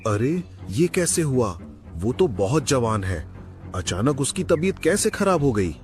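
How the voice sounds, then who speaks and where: Regal but trusting lion ruler for a children's cartoon male actor, very expressive intonation, authoritative and caring. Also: mid-range voice, measured pace, faint music behind. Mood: concerned. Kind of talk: Hindi animated moral story acting.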